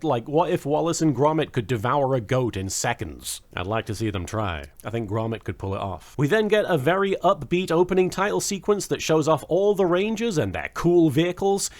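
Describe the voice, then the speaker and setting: A man hosting a video review in casual scripted commentary, with wry, tongue-in-cheek asides. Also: a little high.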